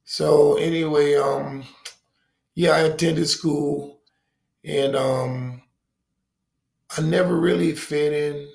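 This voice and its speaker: A man around sixty with a deep voice, telling his recovery story as a guest in a reflective, halting way with many pauses and ums. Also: fairly even intonation, creaky, a little mellow. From Rochester, New York, USA.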